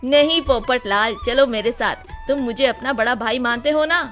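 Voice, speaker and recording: A woman voicing a kind, reassuring married man who speaks like a warm, insistent elder brother in a children's moral story. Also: on the high side, normal speed, faint music behind, close to an everyday voice.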